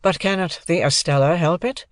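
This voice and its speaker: A woman voicing an earnest, wretched young gentleman in love in an audiobook, pleading and insistent. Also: on the low side, moderate pace, no room sound, studio quality, voice pushed up, close to an everyday voice.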